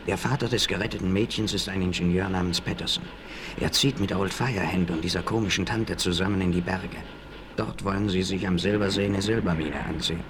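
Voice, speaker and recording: An actor playing a scheming, bossy outlaw gang leader in a conspiratorial, commanding manner in a 1960s radio play. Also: male voice, deep voice. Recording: noisy 1960s vinyl record rip.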